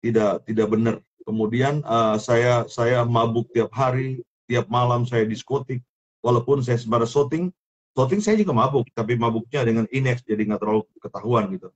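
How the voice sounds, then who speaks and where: A man's voice: medium pitch, lo-fi sound. A middle-aged man with a strong Sulawesi accent, telling his life story as an interview guest, often repeating and restarting his words. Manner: repetitive, halting, many restarts. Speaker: guest, former film actor turned minister. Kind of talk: testimony interview.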